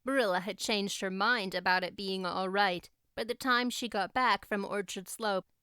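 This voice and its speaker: A woman's voice: medium pitch, normal speed, sharp tone, smooth, dry recording, studio quality. A woman reading scripted story narration as an audiobook narrator.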